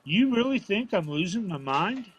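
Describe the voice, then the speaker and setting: A man's voice: sits high, big pitch swings, normal speed, a bit bright, breathy edge, exaggerated voice. An actor playing a stubborn, proud, plainspoken old father from a small town, folksy and prickly, in an audio drama. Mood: defensive. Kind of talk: naturalistic audio drama acting at a live reading. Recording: faint noise of live stage performance.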